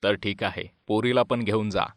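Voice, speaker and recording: Man acting low-voiced father in a cartoon. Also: steady tone, moderate pace, no echo, studio quality, lightly acted. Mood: curt, dismissive. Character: short-tempered father, cold then remorseful. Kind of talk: dubbed animated moral story.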